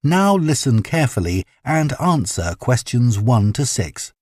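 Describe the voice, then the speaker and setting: A man reading scripted test instructions as an exam announcer, formal and deliberate. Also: medium pitch, big pitch swings, darkish tone, no room sound, clean studio sound.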